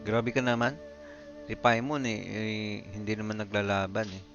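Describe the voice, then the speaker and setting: A man's voice: fairly low pitch, flat delivery, normal speed, fairly bright tone, lo-fi sound. A man with a Filipino accent giving casual live game commentary, thinking aloud as he plays. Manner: mixes two languages, thinks aloud. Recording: stream voice with game music. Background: game music.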